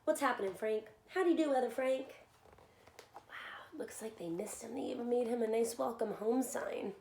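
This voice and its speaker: A woman with a fairly high voice reads a story aloud for little kids. Her delivery is animated and expressive, as if speaking straight to a young audience. The room is clearly echoey, with slight noise behind her. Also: normal speed. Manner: animated, addresses listeners with simple questions. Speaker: children's story reader and soccer coach. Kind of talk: expressive read-aloud of a picture book with asides to young children.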